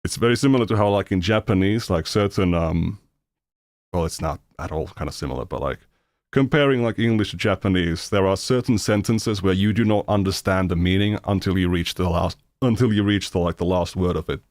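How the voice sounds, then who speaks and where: Low-voiced Finnish streamer, non-native English, blunt, halting, dry close sound. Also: male voice, a little mellow, no echo. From Finland. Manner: rambling, halting with many fillers, blunt and profane. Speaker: streamer reacting to videos. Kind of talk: casual reaction commentary.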